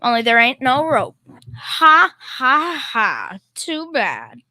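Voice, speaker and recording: A woman's voice: high-pitched, wildly swooping pitch, slow, bright, forceful tone, no echo, full character voice. A young female performer playing a blustering, cowardly middle-aged father in old-time radio comedy style, folksy, wisecracking and ungrammatical. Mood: reluctant sarcasm. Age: teenager.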